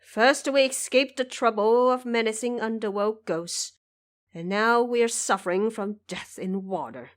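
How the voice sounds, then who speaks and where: A woman's voice: fairly high pitch, a little slow, close to an everyday voice. A woman voicing a shaken, imperious male emperor in an audio drama. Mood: shaken, aggrieved. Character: drowning, panicked emperor, imperial and dazed, man.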